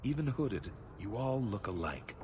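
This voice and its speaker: A man's voice: fairly low pitch, very animated, unhurried, noisy background. An actor playing a sly, aloof non-human male informant in a fantasy video game, evasive and condescending. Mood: sly, dismissive.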